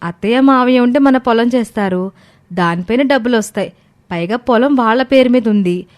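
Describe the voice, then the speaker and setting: A woman's voice: fairly high pitch, fairly quick, close to an everyday voice. A woman playing a shrewd, bossy young daughter-in-law in a family moral story, nagging and commanding. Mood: bossy, urging.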